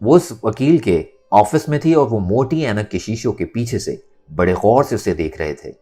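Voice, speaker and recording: A man's voice: on the low side, animated, normal speed, dark tone. A man narrating a dramatized Urdu novel expressively, acting out its dialogue. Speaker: audiobook narrator. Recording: music under the voice. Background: background music.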